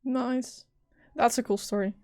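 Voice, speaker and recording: A woman's voice: a little high, fairly even intonation, unhurried, a little mellow. A woman who streams games, reacting casually aloud as she plays. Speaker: game streamer. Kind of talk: casual live reactions to a video game. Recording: game music behind the voice.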